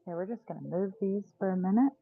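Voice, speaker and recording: A woman's voice: medium pitch, fast, vocal fry, faint music behind. Chatty woman in her forties, tinny sound. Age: 40s. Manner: chatty, laughs often, lots of "like". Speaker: tarot reader hosting a live sale stream. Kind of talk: casual livestream chat.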